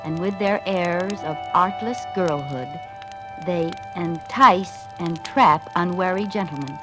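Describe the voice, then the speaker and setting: A woman's voice: lowish voice, slow, music in the background. A woman playing a cynical, baby-faced young actress turned scheming grifter, narrating wryly in 1940s radio drama style. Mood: mock-prim reading.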